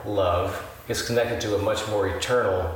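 A man hosting a faith program, reflecting in a measured way about ministry. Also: on the low side, steady tone. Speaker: radio ministry host. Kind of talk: reflective talk. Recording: very echoey, distant, noisy.

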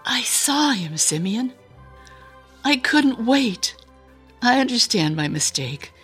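A woman voicing a devout, stubborn old woman in a dramatic reading, husky and breathy, urgent yet wryly affectionate. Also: on the high side, very animated, takes it slowly, a bit bright, faint music behind, pitched above the performer's own voice, full character voice. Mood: breathless wonder.

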